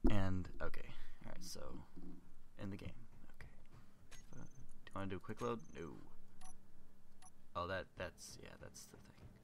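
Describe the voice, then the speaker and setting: A man's voice: low voice, normal speed, noisy background. A young man hosting casual gameplay commentary, stuffed up with a cold and rambling as he thinks aloud. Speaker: gaming channel host.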